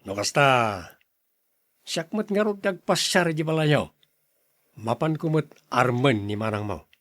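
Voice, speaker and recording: A man's voice: mid-range voice, animated, unhurried, a bit bright, dry recording, clean studio sound. A man with an Ilocano accent acting a stern, blustery older brother who turns smitten suitor in a radio drama. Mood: bargaining. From Philippines.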